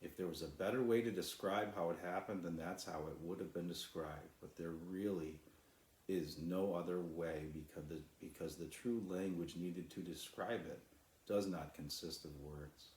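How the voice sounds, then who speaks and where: Deep-voiced man in an echoey room. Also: normal speed, a little mellow. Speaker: author reading his own children's book. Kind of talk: storybook narration.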